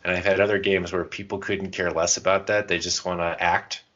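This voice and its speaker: A man's voice: sits low, level tone, fairly quick, fairly bright tone, dull audio. A man co-hosting a podcast in casual chat, with an irreverent, self-deprecating way of talking. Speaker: podcast co-host.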